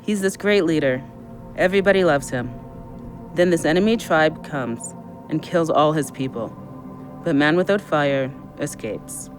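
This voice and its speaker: A man acting a reckless, drunk man coming unravelled in an audio play. His voice sits fairly high, with an insistent, excitable push, over prominent music. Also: measured pace, lightly acted. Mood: brooding. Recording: music behind the voice.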